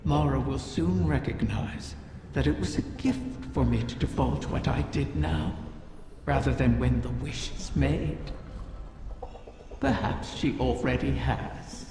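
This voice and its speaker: A woman playing the spirit of an ancient, proud, cunning female dragon in a video game, speaking slowly and formally with breathy, gravelly edges. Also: low voice, full character voice. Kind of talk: dramatic video game monologue. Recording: cavernous echo, long reverb, noisy ambience.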